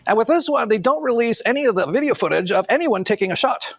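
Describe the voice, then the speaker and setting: A man with a noticeably high voice talks in a loose, casual commentary style. His delivery is gravelly with vocal fry and rambles along sardonically. The sound is very dry. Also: lively intonation, quick pace, a bit bright, no echo. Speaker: online commentator.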